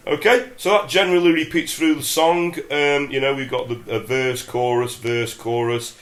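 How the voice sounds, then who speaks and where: A man's voice: mid-range voice, moderate pace. A middle-aged English man with a head cold and nasal voice, chatting casually through a guitar lesson with lots of fillers. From England. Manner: chatty, relaxed, many fillers. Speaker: guitar teacher. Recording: roomy sound with loud acoustic guitar.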